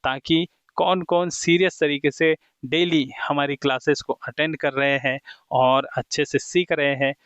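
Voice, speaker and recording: A man teaching English in Hindi who reads the English example sentences slowly and deliberately and keeps calling his viewers friends. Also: on the high side, fairly even intonation, fairly bright tone, clear, resonant tone, dry recording, muffled recording. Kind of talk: explaining a textbook lesson to viewers.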